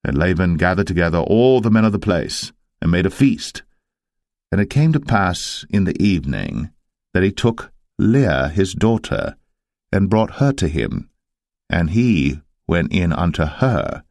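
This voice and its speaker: A man reading scripture as a narrator, in a solemn, measured storytelling manner. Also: sits low, very animated, darkish tone, dry recording, clean studio sound.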